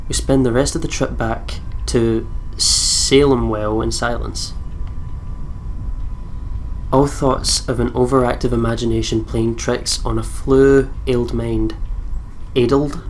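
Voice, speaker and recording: A man's voice: mid-range voice, little pitch movement, slow pace. A man with a Scottish accent hosting a let's play, reading game narration aloud and chatting casually. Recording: faint background noise.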